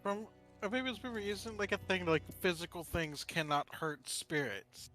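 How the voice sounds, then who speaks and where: A man playing a tabletop game, casually and haltingly reasoning aloud. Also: sits high, animated, pushed and bright. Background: background music.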